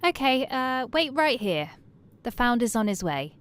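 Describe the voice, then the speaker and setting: A woman's voice: on the high side, big pitch swings, a little slow, fairly bright tone, a little hiss or hum. An actress playing a friendly, chirpy female receptionist in an audio drama.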